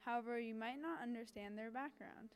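High-voiced woman anchor. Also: fairly quick. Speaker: news anchor. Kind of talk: scripted segment intros.

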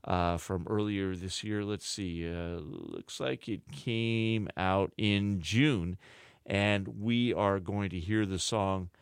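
Slow-talking male radio DJ. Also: sits low, gravelly, clean tone, no room sound, studio quality. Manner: unhurried, with frequent "uh" fillers. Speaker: college radio DJ. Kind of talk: casual radio announcing. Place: radio studio.

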